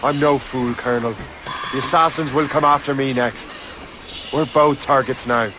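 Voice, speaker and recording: A man's voice: mid-range voice, steady tone, moderate pace, background noise, close to an everyday voice. A man with an Irish accent playing a loyal, wry young sea captain in a video game. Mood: wry, guarded. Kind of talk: video game cutscene acting.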